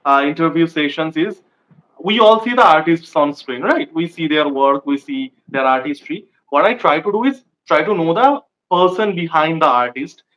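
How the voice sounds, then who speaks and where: A man's voice: fairly high pitch, lively intonation, normal speed, fairly bright tone, poor audio. A man hosting an interview channel, asking casual questions eagerly with lots of fillers. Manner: enthusiastic, filler-heavy questions.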